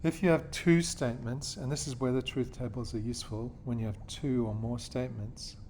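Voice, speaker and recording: A man from Australia teaches a lesson in a patient, step-by-step way. His voice sits at a middle pitch and he keeps checking that his students follow. A faint background noise runs under the recording. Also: steady tone, a little fast, a little mellow. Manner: patient, checks in with "okay?" and "yeah?". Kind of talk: classroom lesson explanation.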